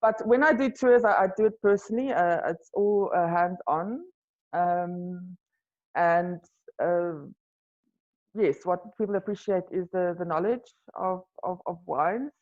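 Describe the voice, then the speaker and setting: A woman's voice: on the low side, unhurried, a little mellow, muffled recording. A woman from South Africa whose first language is Afrikaans, answering interview questions hesitantly with many fillers and restarts. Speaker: wine tour guide and business owner.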